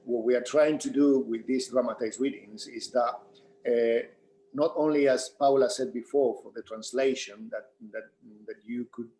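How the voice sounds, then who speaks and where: Mellow man, faint hum behind. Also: mid-range voice, steady tone, normal speed, low-quality recording. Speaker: theatre director. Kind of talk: explaining in a panel discussion.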